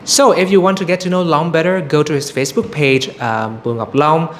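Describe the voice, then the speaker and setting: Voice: medium pitch, a little fast, slight background noise. An upbeat, encouraging host running an interview show.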